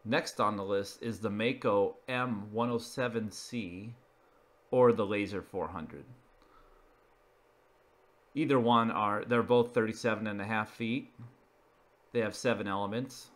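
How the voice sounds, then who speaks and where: A man's voice: fairly low pitch, steady tone, unhurried, pauses often, a bit bright. A man presenting an informal narrated slideshow, explaining each item in a matter-of-fact way. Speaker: video presenter.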